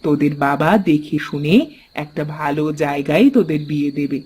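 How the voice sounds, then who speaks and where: A woman playing an aging, reassuring village mother in an animated folktale, with a coaxing, motherly manner. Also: low-pitched, brisk, slightly rough.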